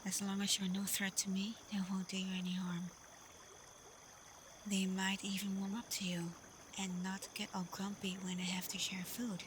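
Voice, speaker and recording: A woman playing a confident, protective, teasing female werewolf alpha in a soft, breathy, often whispered ASMR roleplay voice. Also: medium pitch, little pitch movement, moderate pace, a little hiss or hum, close to an everyday voice. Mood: calm, inviting. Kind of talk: slow ASMR roleplay addressed to the listener.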